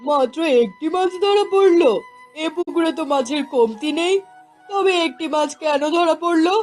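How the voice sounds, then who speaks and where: A woman's voice: very high voice, animated, moderate pace, a little mellow, faint music behind. A woman voicing a poor, anxious village fisherman who exclaims and laments in a cartoon fairy tale. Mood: dismayed. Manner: talking to himself, exclaiming and lamenting.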